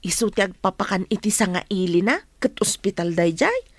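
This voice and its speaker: A woman's voice: mid-range voice, expressive, a little fast, no room sound, close to an everyday voice. A woman playing a chatty, deferential housemaid in an Ilocano radio comedy-drama.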